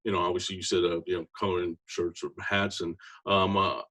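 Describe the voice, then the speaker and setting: Low-voiced host, halting with fillers. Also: male voice, level tone, pushed and bright. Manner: halting, heavy "uh" fillers, friendly "man". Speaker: interview host. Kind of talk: casual interview.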